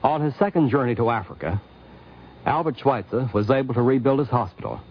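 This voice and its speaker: Formal male narrator on muffled old radio. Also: mid-range voice. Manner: formal, measured. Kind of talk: scripted narration, 1950s radio documentary. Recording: dull 1950s radio recording.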